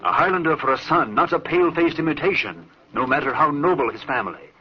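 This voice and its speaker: A man's voice: on the high side, lively intonation, fast, lightly acted. An actor playing a proud, hot-tempered Scottish clan lord and father in a declamatory old radio horror drama. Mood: furious, indignant. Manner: blustering, declamatory. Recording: muffled, hissy old radio broadcast.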